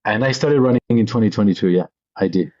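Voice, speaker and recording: A man's voice: lowish voice, quick pace, a little mellow, no echo, lo-fi sound. A man in his thirties from France, talking casually and enthusiastically as an interview guest, telling personal stories in an informal way. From France (Paris). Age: 30s. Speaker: podcast guest, venture capitalist. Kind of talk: casual conversational storytelling.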